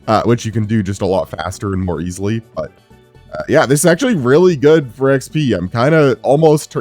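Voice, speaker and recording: Male gaming host, relaxed rambling commentary, slightly sick, mid pitch, faint music underneath. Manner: relaxed, rambling, frequent "uh" fillers. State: sick, strained low voice. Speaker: gaming YouTuber. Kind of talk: casual gameplay commentary.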